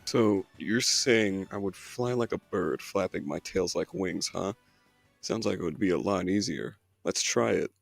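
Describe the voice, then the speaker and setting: Man doing a creaky, eager young fox. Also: sits low, measured pace, faint music behind, heavily acted. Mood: eager, questioning. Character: two-tailed young fox learning to fly, eager, easily baffled. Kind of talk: amateur comic dub character acting.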